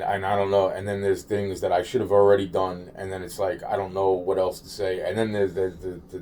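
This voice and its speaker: Rambling man with a mellow, low voice. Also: slight background noise. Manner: halting, repetitive, trailing off and circling back; slangy and profane. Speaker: solo video maker. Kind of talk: rambling, informal monologue to camera.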